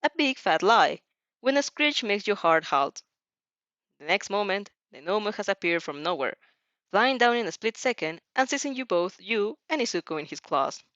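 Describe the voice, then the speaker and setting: Lively woman reading a story, dull lo-fi mic. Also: medium pitch, normal speed, no echo. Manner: giggly, often stumbles over words and restarts. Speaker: fan fiction narrator. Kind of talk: animated story reading with asides. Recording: dull lo-fi microphone.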